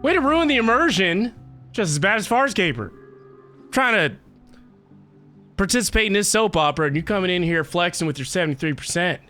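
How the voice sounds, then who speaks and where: A man's voice: high-pitched, animated, brisk, long pauses, sharp tone, soft background music. A man chatting casually as a live game streamer, with a playful, theatrical and mock-dramatic manner.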